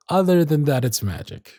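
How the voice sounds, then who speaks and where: Man playing a smitten, soft-spoken circus magician, husky voice. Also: fairly high pitch, dry recording, clean studio sound. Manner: soft-spoken, flattering, tender, with hushed asides. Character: smitten male circus magician, charming, gentle, privately anxious. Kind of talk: ASMR romance roleplay with intimate inner monologue.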